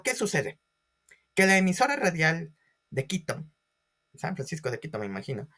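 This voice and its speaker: A man's voice: on the high side, a bit bright. Man teaching with theatrical emphasis. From Ecuador. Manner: theatrical emphasis, rhetorical questions to listeners. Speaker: history teacher. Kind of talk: animated storytelling lecture.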